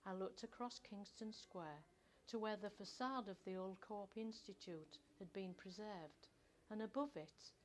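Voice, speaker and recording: Slow-paced older woman reading a story. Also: medium pitch, a little hiss or hum. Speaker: author reading own short story. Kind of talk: scripted first-person story narration.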